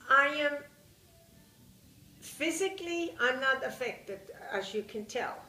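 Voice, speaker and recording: Older Bavarian-accented woman, halting speech. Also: sits high, lively intonation, pushed and bright, reverberant, recorded from a distance, poor audio. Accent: Bavarian German. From Germany. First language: German. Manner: halting, searches for words. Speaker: interviewee. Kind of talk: oral history interview.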